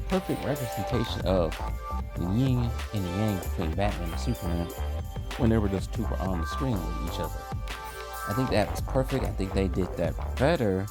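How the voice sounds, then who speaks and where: Male host, casual reviewer: low register with fry, informal conversational delivery, lots of pitch movement. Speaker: host of an animation history video series. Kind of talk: casual opinionated review commentary. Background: background music.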